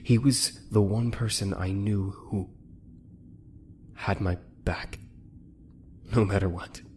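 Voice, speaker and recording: A man's voice: on the low side, fairly even intonation, slow pace, darkish tone. A man playing a bitter, grieving young cadet in a fan anime drama, brooding and heavy-hearted as he speaks. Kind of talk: fan dub anime parody acting. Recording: faint background noise.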